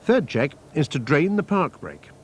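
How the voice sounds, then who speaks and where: Man narrating a technical training film, lively intonation. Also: a little high. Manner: measured, methodical, formal. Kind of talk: scripted technical instructional narration. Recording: faint noise, archival training film soundtrack.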